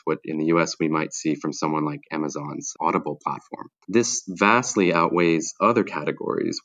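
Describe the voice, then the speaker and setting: Man, deep voice, dull lo-fi sound, scripted podcast co-host reading statistics. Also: fairly bright tone. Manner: reads prepared figures and questions. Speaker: podcast co-host, technology lawyer.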